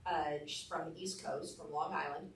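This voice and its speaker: A woman's voice: low voice, steady tone, normal speed, slight background noise. Blunt Arkansas woman teaching a class. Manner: blunt, candid storyteller. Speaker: real estate trainer. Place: training room. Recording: echoey room, mic far off.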